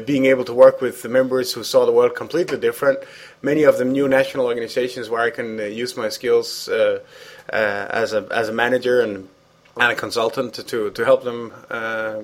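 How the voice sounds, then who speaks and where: A man whose first language is Danish, from Denmark, speaking English as an interview guest, hesitant with many fillers and restarts. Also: mid-range voice. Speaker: guest, former international organization president. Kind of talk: conversational interview.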